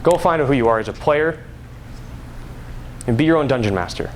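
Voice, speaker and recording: A man's voice: mid-range voice, lively intonation, fairly quick. A young man giving an informal speech to an audience in a conversational, playful storytelling manner. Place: live talk before an audience at a school. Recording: noisy live recording, background ambience.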